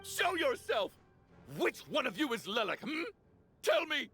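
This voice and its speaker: A man's voice: very high-pitched, very animated, a little slow, pushed and bright, exaggerated voice. An actor playing a self-important, jumpy wealthy man in a video game, theatrical and insistent, pressing others with questions. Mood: frantic, pleading. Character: panicky, accusatory, self-important wealthy man under threat. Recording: music behind the voice. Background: music.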